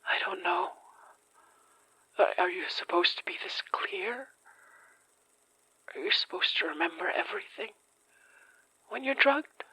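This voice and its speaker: An actress playing a bewildered, frightened survivor in an audio horror drama, halting and fragile, with a husky, breathy voice. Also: female voice, on the low side, lively intonation, pushed and bright, no echo, exaggerated voice, poor audio. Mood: dazed, distressed. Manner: halting, fragile, near-whispering. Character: shaken, bewildered female survivor of a supernatural attack.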